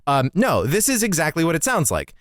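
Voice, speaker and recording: A man's voice: fairly high pitch, wildly swooping pitch, fairly quick, a bit bright, no echo. A man co-hosting a podcast, explaining in a bookish, wry way with casual swearing. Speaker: podcast co-host.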